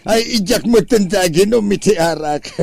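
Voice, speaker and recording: A man's voice: high-pitched, moderate pace, vocal fry, darkish tone, breathy edge, no echo, studio quality, exaggerated voice. A man with an Ilocano accent playing a whining, complaining husband in a comedy drama, wailing and lamenting in an exaggerated way. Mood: pleading, complaining. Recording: music behind. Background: music.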